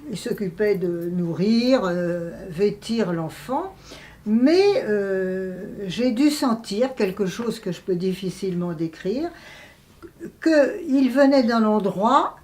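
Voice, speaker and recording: A woman answers in a reflective interview. She speaks slowly and hesitantly, searching for her words with frequent pauses. Her pitch sits in a middle range for a woman. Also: a little mellow. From France. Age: 80s. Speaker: interviewee, writer and translator.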